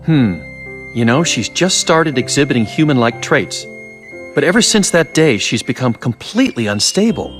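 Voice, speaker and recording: Man as a lively, wry, musing scientist. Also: medium pitch, natural voice. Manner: musing, teasing, theatrical pauses. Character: wry, curious, evasive male scientist doctor. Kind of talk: video game voice acting. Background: faint music.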